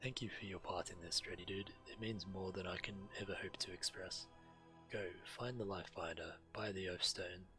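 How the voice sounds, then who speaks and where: A man's voice: sits low, steady tone, moderate pace, pushed and bright. A man who streams games, reading fantasy quest dialogue aloud. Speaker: game streamer. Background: game music.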